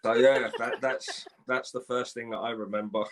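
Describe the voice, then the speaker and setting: A man in his forties from Ireland, telling his life story in a live interview, halting, with many restarts and repeated words. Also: mid-range voice, flat delivery, slight background noise. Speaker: guest.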